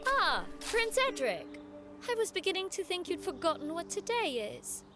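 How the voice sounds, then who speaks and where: An actress playing a prim, sharp-tongued young noblewoman in an audio drama, formal, arch and sarcastic. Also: very high-pitched, big pitch swings, moderate pace, over music. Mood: arch, teasing.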